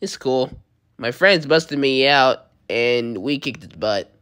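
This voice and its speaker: A woman's voice: extremely low pitch, lively intonation, slow pace, fairly bright tone, clear, resonant tone, pitched below the performer's own voice, exaggerated voice. Woman as a breezy, cocky young hedgehog hero. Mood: casual. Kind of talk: amateur comic dub.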